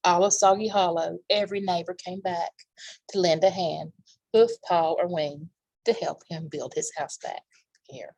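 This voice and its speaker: Husky-voiced woman reading to children. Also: fairly low pitch, steady tone, unhurried, darkish tone, dry recording, poor audio. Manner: simple asides pointing out the pictures, with exclamations. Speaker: children's library storytime reader. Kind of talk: reading a picture book aloud to young children.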